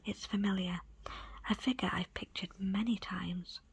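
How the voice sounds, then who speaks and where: A woman reading a book aloud as ASMR in a soft, breathy, unvoiced whisper, with deliberate pauses between short phrases. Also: mid-range voice, steady tone, dry recording, rough recording. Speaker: audiobook reader. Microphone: close, dry microphone.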